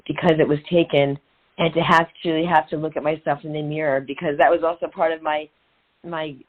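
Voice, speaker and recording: A middle-aged woman speaking as an interview guest and coach in an earnest, inspirational way, repeating phrases for emphasis. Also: deep voice. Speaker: guest, transformational and women's empowerment coach. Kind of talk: conversational interview, motivational storytelling. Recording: narrow phone-like sound.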